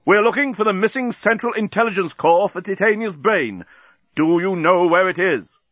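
A man's voice: a little high, lively intonation, moderate pace, a bit bright, no echo, lo-fi sound, voice pushed up. A man voicing a grandiose, earnest alien starship captain, declaiming and commanding in a storybook reading. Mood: urgent, yelling.

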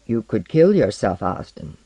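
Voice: medium pitch, very animated, measured pace, dark tone, no echo. A reader as a stern woman challenging someone. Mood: coldly clinical. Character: stern, indignant woman, clinical and self-possessed.

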